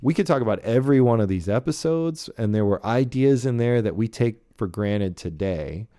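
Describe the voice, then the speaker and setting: A man's voice: medium pitch, lively intonation, moderate pace, warm tone, no room sound, clean studio sound. A man hosting a casual podcast discussion, thinking aloud with hesitations and often checking in with "right". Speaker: podcast host. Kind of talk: casual podcast discussion of old radio shows.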